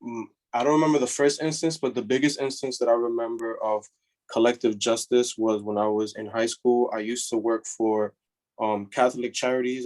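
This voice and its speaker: A man's voice: mid-range voice, a little mellow. A young man, a student, sharing a memory on a video call in a casual way with many fillers.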